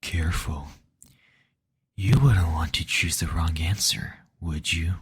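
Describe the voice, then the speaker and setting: A man voicing a stern, possessive demon lord in a slow, domineering, intimate roleplay style. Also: low voice, exaggerated voice. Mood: possessive, commanding. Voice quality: breathy, husky. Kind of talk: intimate scripted roleplay audio.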